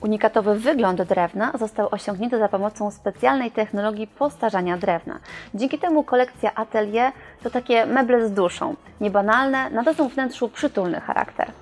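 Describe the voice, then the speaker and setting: A young woman with a fairly high voice walks viewers through furniture in a showroom, explaining each detail clearly as a scripted presenter. Faint music plays softly under her speech. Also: normal speed. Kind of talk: scripted product review.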